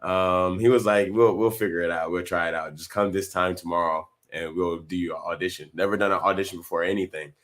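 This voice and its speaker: A man, chatting as an interview guest in a relaxed way with many fillers and restarts. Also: low voice, fairly quick, hardly any pauses. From North Carolina. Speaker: guest actor. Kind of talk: casual interview.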